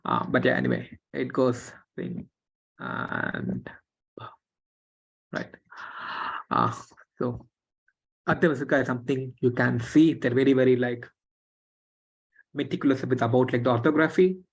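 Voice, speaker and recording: Woman teacher, casual and halting, lo-fi call audio. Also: rumbling low, gravelly, husky. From Tamil Nadu, India. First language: Telugu. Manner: relaxed, many fillers and restarts. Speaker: script teacher. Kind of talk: online class explanation. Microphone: video call. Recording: dull lo-fi video call audio.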